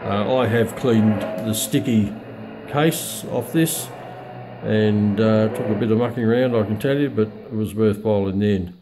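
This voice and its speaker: Middle-aged man, lowish pitch, hesitant casual demo, noisy home recording with radio static. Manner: hesitant, halting delivery with many pauses. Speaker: radio hobbyist reviewer. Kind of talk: casual unscripted product demonstration. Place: small room at home, indoors. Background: shortwave radio static and broadcast.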